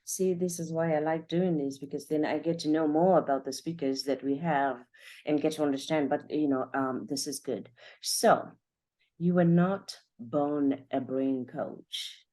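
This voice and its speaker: A woman hosting a podcast interview, reacting to her guest with animated exclamations. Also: deep voice, measured pace. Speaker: podcast host.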